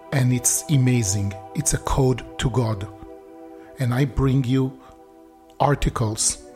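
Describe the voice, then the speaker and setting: A man's voice: mid-range voice, a little mellow. A man whose first language is Hebrew, introducing his own talk about the Bible in short, emphatic statements. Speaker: host. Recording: faint music behind.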